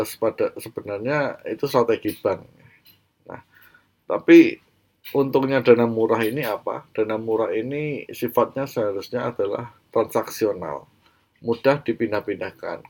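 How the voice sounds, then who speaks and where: An Indonesian man gives an informal lecture in a fairly low voice, slightly congested from a cold. He explains point by point as an educational presenter, often dropping in short tags to check that listeners follow. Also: darkish tone. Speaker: presenter of an educational banking channel.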